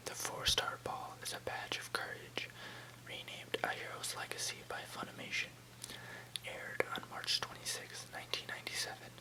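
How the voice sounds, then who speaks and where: An ASMR host reads an article in a steady, breathy whisper with no voicing. The delivery is soft and measured, with casual phrasing and an occasional stumble over a tricky word. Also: rough recording. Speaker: ASMR host reading an encyclopedia article aloud. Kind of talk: whispered ASMR reading with brief casual asides.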